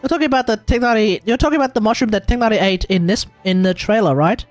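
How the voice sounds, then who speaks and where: A man with an Australian accent giving casual, excitable, joking live commentary on a game, repeating himself for emphasis. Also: sits high, quick pace, faint music behind. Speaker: streamer.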